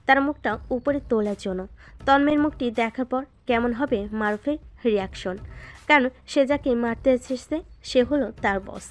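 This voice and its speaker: A woman narrator reading a scripted dramatic story. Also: on the high side.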